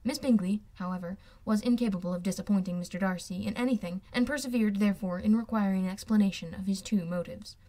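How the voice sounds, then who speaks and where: A woman's voice: medium pitch, quick pace, hardly any pauses, warm tone. A woman giving a scripted literary narration as an amateur audiobook reader.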